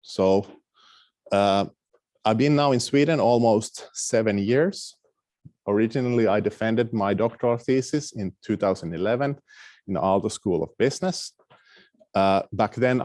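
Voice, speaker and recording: A man's voice: fairly low pitch, a little mellow, no echo. A middle-aged man from Finland with a Finnish accent, giving an academic lecture over a video call, hesitant with many fillers and restarts. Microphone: video call microphone.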